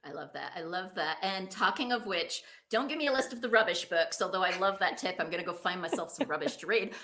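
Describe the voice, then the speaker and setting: A woman's voice: fairly low pitch, animated, fast, a bit bright. A woman hosting a podcast, interviewing conversationally in an enthusiastic, encouraging manner. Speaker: podcast host.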